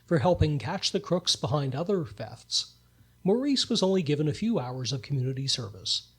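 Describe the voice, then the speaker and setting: A man with a slightly high, husky voice serves as narrator. He tells the story in a scripted, storybook style for a radio play. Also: very animated, normal speed, fairly bright tone.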